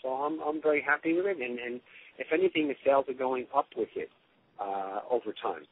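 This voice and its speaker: A man's voice: medium pitch, big pitch swings, brisk. A man speaking as a doctor giving an informal testimonial on a conference call, rambling and often restarting his phrases. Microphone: telephone. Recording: tinny phone line with clear noise.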